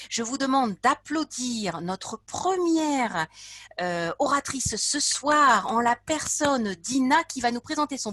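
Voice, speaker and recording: A woman hosting a club meeting over a video call, speaking in a measured, formal way. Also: on the high side, fairly bright tone, no echo. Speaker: meeting host.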